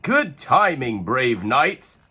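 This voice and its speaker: A man's voice: medium pitch, sing-song, slow pace, pushed and bright, husky, heavily acted. A man voicing a cheerful, bossy young penguin king in a storybook, making grand, playful royal proclamations. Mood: regal.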